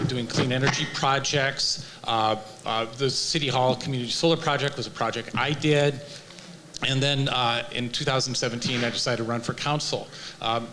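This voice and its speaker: A man who sits on the city council, speaking at a candidate forum with frequent pauses and restarts. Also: medium pitch, pushed and bright, a little hiss or hum, low-quality recording. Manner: hesitant, many uhs and restarts. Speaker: incumbent city council member. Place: senior community center.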